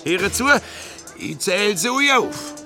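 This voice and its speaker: Boastful cook hawking dishes, high and slow, singsong, broken German. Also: male voice, lively intonation, exaggerated voice. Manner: singsong sales patter, hesitant fillers. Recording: radio play with music behind.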